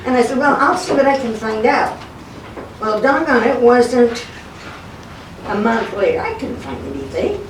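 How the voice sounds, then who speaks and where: A woman's voice: on the high side, lively intonation, moderate pace, pauses often, a little hiss or hum. An older woman giving a live storytelling talk in a folksy, conversational way, acting out the dialogue as a local historian would. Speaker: guest speaker, local historian. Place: indoor talk at a historic log cabin. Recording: roomy, distant microphone, music behind.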